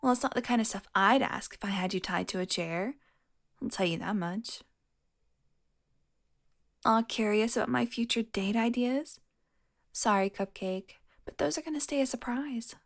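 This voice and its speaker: A long-lived female vampire, acted by a woman, speaks in a lightly high-pitched voice. She is sardonic and foul-mouthed, with a flirtatious, teasing edge. The delivery is an intimate audio roleplay monologue aimed straight at the listener. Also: normal speed, long pauses. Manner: sardonic, teasing, breathy asides.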